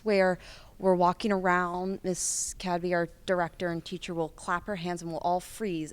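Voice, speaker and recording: A teenage female student actor with an American accent, answering interview questions conversationally in a clear voice. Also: fairly low pitch, darkish tone. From United States. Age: teens.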